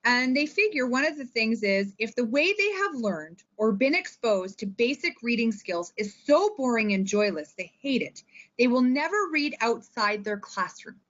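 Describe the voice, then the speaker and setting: A Canadian woman giving an informal instructional talk in an expressive, chatty way that tends to wander. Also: fairly high pitch, normal speed, highs cut off. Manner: animated, expressive, digressive. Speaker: teacher librarian and presenter.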